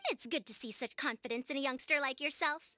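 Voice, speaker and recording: A woman's voice: high voice, a little fast, sharp tone, no room sound, soft background music. A woman voicing a proud scholar in a video game, speaking formally and pompously like a senior to juniors. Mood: haughty, confident. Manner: pompous, formal, old-fashioned wording. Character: proud youthful female scholar acting as an elder.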